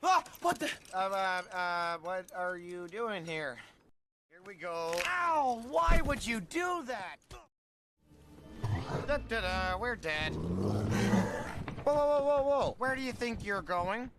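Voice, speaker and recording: A man voicing an awkward, sarcastic, scrawny teenage Viking boy in an animated film, nasal, with a wry, stammering delivery. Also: high voice, takes it slowly, gravelly, long pauses, heavy background noise, heavily acted. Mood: startled.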